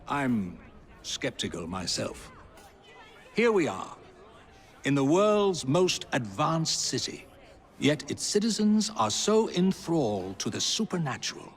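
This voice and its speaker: An actor playing a genteel Victorian gentleman in a video game, speaking in florid phrases with dramatic pauses. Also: fairly high pitch, very animated, heavily acted. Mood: grand, persuasive. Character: genteel, theatrical Victorian gentleman, a self-declared skeptical ghost investigator. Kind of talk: video game cutscene acting.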